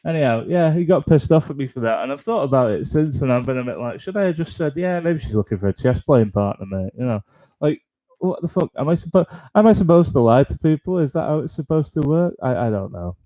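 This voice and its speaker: A man hosting a live comedy stream, rambling in a flat deadpan tone, with lots of ums, restarts and swearing. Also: on the high side, fast. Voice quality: deadpan, flat-toned delivery. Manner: self-deprecating, frequent ums and restarts, sweary. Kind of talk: rambling stand-up style chat on a live stream.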